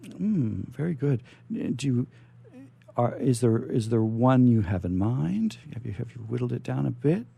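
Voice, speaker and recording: A man hosting a live radio interview, chatting in a halting way and often repeating words as he goes. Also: mid-range voice, very animated, vocal fry, dark tone, slightly rough. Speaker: radio show host.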